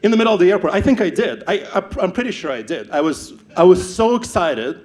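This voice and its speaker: A young man from Ukraine with a Ukrainian accent, telling his startup story on stage, animated and often repeating words as he restarts. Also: sits high, fast. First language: not English. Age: young adult. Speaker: startup founder speaker.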